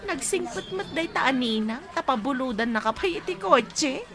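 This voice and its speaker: Woman acting high, flirty, inquisitive Filipina. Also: expressive, normal speed, fairly bright tone. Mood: teasing, curious. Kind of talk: radio drama acting.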